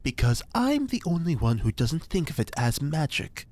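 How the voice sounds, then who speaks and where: A man's voice: fairly high pitch, big pitch swings, moderate pace, a little mellow, no room sound. A man playing a pompous, theatrical occult scientist. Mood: lecturing. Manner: grandiose, theatrical, lecturing. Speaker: reporter's informant. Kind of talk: audio drama acting.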